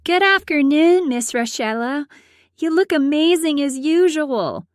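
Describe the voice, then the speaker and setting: A woman's voice: sits high, animated, unhurried, no echo, clean studio sound, pitched above the performer's own voice. A woman voicing a bubbly, teasing young female friend in a narrated story, with a gushing, coaxing manner. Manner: sing-song, gushing, coaxing.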